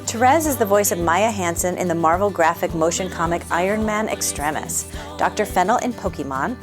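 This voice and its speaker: A woman podcast host reading a scripted, upbeat episode introduction. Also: medium pitch. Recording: intro read over music. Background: music.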